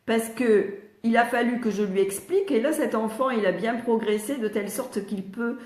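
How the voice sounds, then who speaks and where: A woman's voice: fairly high pitch, moderate pace, darkish tone. An older woman, a retired speech therapist, explaining reading to parents in a chatty live question-and-answer session, slightly congested with a cold. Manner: chatty, explanatory, addresses viewers by name. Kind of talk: live Q&A teaching. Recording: roomy echo.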